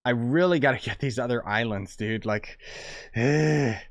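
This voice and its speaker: A young man casually commenting on a game during a live stream, thinking aloud in a relaxed, chatty way. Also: mid-range voice, a little slow, no room sound, clean studio sound.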